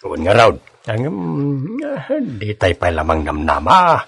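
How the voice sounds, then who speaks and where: A man playing a lively, teasing older village father in an Ilocano radio drama, chatty and expressive, with an Ilocano accent. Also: medium pitch, takes it slowly, vocal fry, breathy edge, full character voice. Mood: nosy, bantering.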